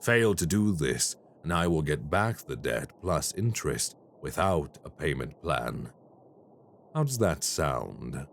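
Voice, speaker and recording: A man playing a very deep, slow crime boss. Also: sing-song, a little hiss or hum, exaggerated voice. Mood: menacing offer. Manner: slow, measured, formally menacing. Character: cold, calculating male crime boss. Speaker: voice actor in an audio roleplay. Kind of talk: audio roleplay acting.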